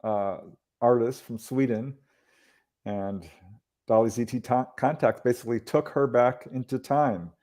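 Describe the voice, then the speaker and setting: A man's voice: mid-range voice, fairly even intonation, a little slow, dark tone. A man co-hosting a video call, walking through slides with easy laughs and clarifying questions. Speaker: co-host.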